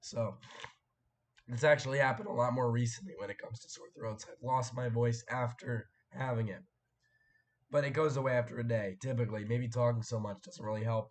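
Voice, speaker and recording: A young man hosting a casual live stream, his voice hoarse and cracking after a sore throat, rambling and clearing his throat as he chats with viewers. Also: mid-range voice. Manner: rambling, chatty, frequent fillers and throat clearing.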